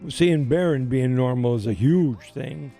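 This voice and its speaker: Older man, slightly raspy, rambling host. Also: medium pitch, a little slow, mellow, faint music behind. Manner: rambling, restarts often, laughs easily. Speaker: radio show host. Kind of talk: casual on-air chat.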